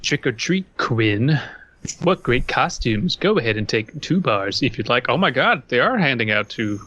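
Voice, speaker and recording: A man with a medium-pitched voice talks casually as a podcast co-host. He moves between reading aloud and dry, crude quips. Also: big pitch swings, normal speed, sharp tone, slight background noise. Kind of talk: casual chat with story read-alouds.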